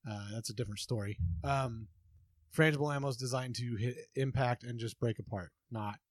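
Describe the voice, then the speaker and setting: A man's voice: medium pitch, steady tone, a little fast, no echo. Easygoing man explaining on a podcast. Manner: easygoing, explains with many ums. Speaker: podcast co-host. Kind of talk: casual podcast chat.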